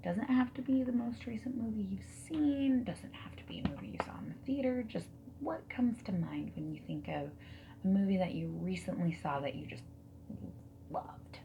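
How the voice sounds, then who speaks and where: Woman as friendly matchmaking interviewer for ASMR roleplay: soft, whispery, creaky voice, gentle questions, audible background noise and typing. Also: mid-range voice, close to an everyday voice. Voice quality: breathy, often slipping into whisper. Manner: gentle, unhurried, reassuring, asking questions. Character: friendly, nonjudgmental dating service interviewer.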